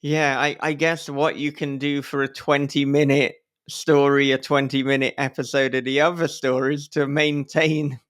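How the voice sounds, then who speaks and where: A man's voice: mid-range voice, level tone, moderate pace, few pauses, fairly bright tone, smooth. A man hosting a casual podcast conversation, talking effusively in long, wordy sentences with the odd casual swear word. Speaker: podcast host and author.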